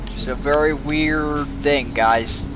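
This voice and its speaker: A man's voice: on the high side, steady tone, takes it slowly. A man giving casual live gameplay commentary as a streamer, chatting to his viewers. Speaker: gaming streamer. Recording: dull, noisy stream audio.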